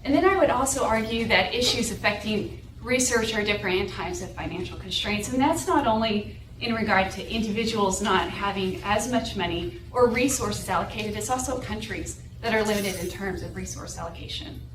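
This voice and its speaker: A woman's voice: medium pitch, fairly quick. A young woman from New Mexico and Texas with a light Southwestern accent and a North Carolina drawl, giving an academic seminar talk from a podium and often checking in with "right?". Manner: explanatory, often adds "right?" to check in with the audience. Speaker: guest lecturer. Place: lecture room, standing at a podium. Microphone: room recording from a far camera. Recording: echoey room audio from far off with background noise.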